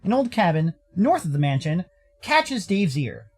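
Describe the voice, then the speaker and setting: A man's voice: high-pitched, very animated, unhurried, darkish tone, breathy edge. An American man giving playful scripted narration of a story in a theatrical, tongue-in-cheek manner. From United States. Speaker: narrator and streamer.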